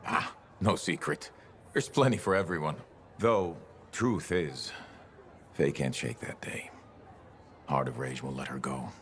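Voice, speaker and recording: Very deep, roguish old veteran. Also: male voice, unhurried, pauses often, fairly bright tone, slight background noise, full character voice. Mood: roguish banter. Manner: roguish, bantering, world-weary. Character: gruff older male veteran, roguish, haunted by loss. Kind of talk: video game dialogue.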